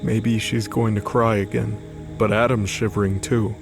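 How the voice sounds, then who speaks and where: Faint music plays beneath a man narrating an audio drama. His voice sits on the low side, and he reads scripted fiction as the storyteller. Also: level tone, moderate pace.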